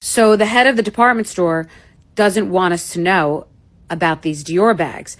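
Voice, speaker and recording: Woman, deep voice, earnest musing monologue. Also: a little slow.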